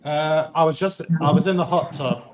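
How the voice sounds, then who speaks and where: A man chatting in a relaxed, somewhat hesitant way as a livestream guest. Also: a little high, moderate pace, crowd noise. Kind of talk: casual livestream chat.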